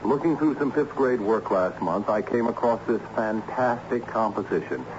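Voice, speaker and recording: Man acting a wry, excitable low-voiced school administrator. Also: normal speed, natural voice. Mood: patient, earnest. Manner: wry, theatrical, patiently explanatory. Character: harried, excitable male school assistant principal with ironic humour. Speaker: actor in a radio play. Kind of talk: old-time radio drama acting. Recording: dull, noisy old radio recording.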